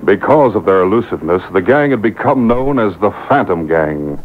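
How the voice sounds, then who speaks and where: A man with a low voice delivers deliberate, dramatic vintage radio drama narration. The sound is narrow like a phone line, with clear background noise. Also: expressive. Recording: noisy, tinny radio broadcast taped off air.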